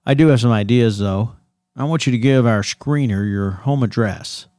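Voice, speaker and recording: An actor playing a reassuring 1990s late-night radio host of a paranormal show, with smooth showman patter. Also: mid-range voice, moderate pace, a little mellow, dry recording, studio quality, lightly acted.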